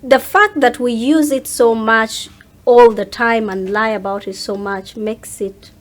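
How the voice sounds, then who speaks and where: Higher-pitched woman in casual conversation. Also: steady tone, a little slow. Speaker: interview guest.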